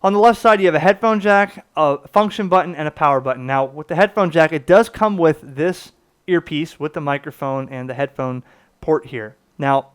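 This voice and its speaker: A man's voice: on the high side, moderate pace, smooth. A man casually explaining and demonstrating a product to viewers, conversational, stressing key words as he goes. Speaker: product reviewer, channel host.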